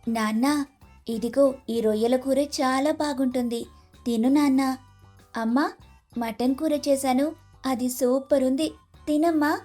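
A woman plays a complaining young daughter-in-law in a cartoon family story. Her voice is high yet mellow, and she is dramatic and scheming. Music plays softly behind her. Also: steady tone, slow, long pauses. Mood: coaxing. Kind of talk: moral-story cartoon dialogue.

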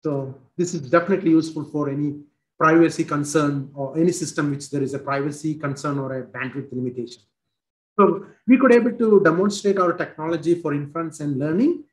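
Man in his forties with an Indian accent, headset, halting presenter, echoey room. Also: on the high side. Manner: halting, many fillers and restarts. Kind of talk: technical product demo over video call. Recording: roomy video call audio.

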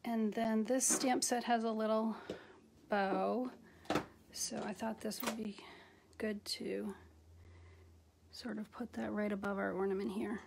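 A middle-aged woman casually demonstrating a craft step by step, speaking in an unhurried, halting way as she works with her hands. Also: mid-range voice, fairly even intonation, vocal fry. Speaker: craft tutorial host. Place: indoors at a craft table. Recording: noisy home recording with clicks.

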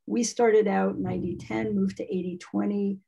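A woman talks in an easy, opinionated way over a video call. Her voice is mellow and slightly husky. She sounds at ease, like someone used to explaining things. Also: mid-range voice, fairly even intonation, moderate pace, poor audio. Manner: chatty, frank, opinionated. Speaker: guest speaker on immersion schooling. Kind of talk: casual video-call talk.

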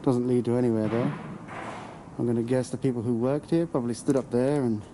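A man's voice: medium pitch, fairly even intonation, fairly quick. A young man with an English accent narrating his walk through an abandoned building, joking crudely and swearing often. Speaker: urban explorer vlogger. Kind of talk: casual exploring commentary. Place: dark abandoned burnt-out building, indoors. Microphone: handheld camera. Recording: noisy handheld camera audio.

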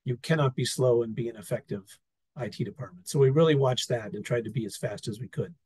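A man presenting an experience report over slides on a video call, talking in a relaxed, wry way with fillers and restarts. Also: medium pitch, slightly rough, no echo. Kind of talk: conversational talk over slides.

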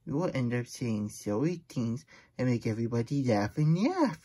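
A man voicing a childlike, fretful and grumbly plush hedgehog who hosts a puppet story time, stammering through exasperated asides with a husky voice. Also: mid-range voice, lively intonation, moderate pace, warm tone. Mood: chatty. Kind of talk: puppet read-aloud with chatty asides.

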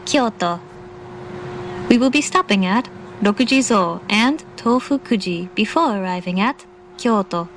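Woman as train announcer: formal, measured, very slow, precise diction, slightly high voice. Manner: formal, measured, carefully enunciated. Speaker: English-language train announcer. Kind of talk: recorded in-car station announcement. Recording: faint train noise. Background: train car ambience.

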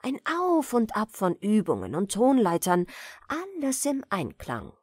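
Slow, expressive woman reading fairy tales. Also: mid-range voice, slightly rough, no room sound, clean studio sound. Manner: deliberate, dramatic pauses between short phrases. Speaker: storyteller, audiobook reader. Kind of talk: expressive fairy-tale narration for bedtime listening.

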